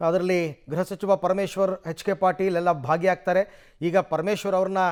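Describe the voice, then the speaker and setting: A man's voice: high voice, steady tone, moderate pace, darkish tone, clean tone. A man with a Karnataka Kannada accent anchoring live television news, mixing in English words.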